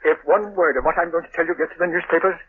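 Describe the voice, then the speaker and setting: A man voicing a desperate, guilt-ridden scientist in an old radio play. His high-pitched voice talks fast and urgent. It sounds tinny and hissy, like an old broadcast. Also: lightly acted. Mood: grave. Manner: urgent, pleading. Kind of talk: 1930s radio drama acting.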